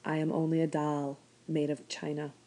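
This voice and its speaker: A woman reading a storybook, voicing the quiet, wistful thoughts of a despairing male china toy rabbit. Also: deep voice, little pitch movement, normal speed. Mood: hopeless. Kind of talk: children's storybook read aloud.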